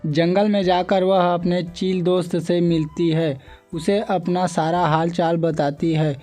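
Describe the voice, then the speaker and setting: High-pitched male storyteller, mellow and even. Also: measured pace, faint music behind. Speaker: story narrator. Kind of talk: scripted narration of children's moral story.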